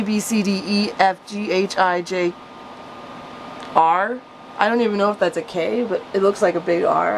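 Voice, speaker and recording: A woman's voice: on the low side, noisy background. A woman reading out her own childhood story with casual, amused, self-mocking commentary. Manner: self-mocking, chuckly, animated when reading. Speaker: storyteller, vlogger.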